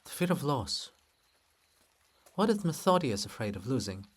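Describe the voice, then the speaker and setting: A man's voice: medium pitch, sing-song, moderate pace, breathy edge. A man voicing a proud, insistent princess in a dramatic reading, imploring and solemn. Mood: probing. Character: proud, pleading princess, insistent and braced for pain.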